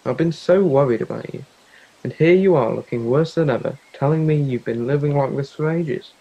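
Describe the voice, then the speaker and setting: Young man acting a mellow, worried teenage boy. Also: medium pitch, moderate pace, gravelly, dull audio. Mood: worried, pleading. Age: young adult. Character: worried, caring teenage boy, loyal friend. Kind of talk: youth theatre stage drama acting.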